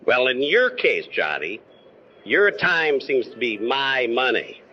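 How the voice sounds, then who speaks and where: An actor playing a fussy, excitable, penny-pinching insurance man in an old-time radio drama. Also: mid-range voice, slow pace. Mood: fretful, droll. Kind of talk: old-time radio comic character acting. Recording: dull lo-fi with faint noise.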